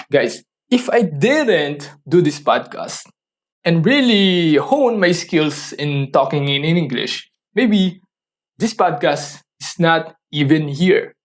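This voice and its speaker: A man's voice: a little high, expressive. Earnest man coaching listeners. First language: Tagalog. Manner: earnest, coaching, asks listeners rhetorical questions; halting, restarts sentences often. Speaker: podcast host. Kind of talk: motivational solo podcast talk.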